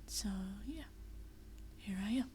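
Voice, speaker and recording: A young woman whispering quietly at night in a living room, chatting unscripted with many fillers and restarts. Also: a little high, lively intonation, slow pace, a little hiss or hum. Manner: rambling with frequent fillers and restarts. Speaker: VRChat vlogger. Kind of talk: unscripted casual chat.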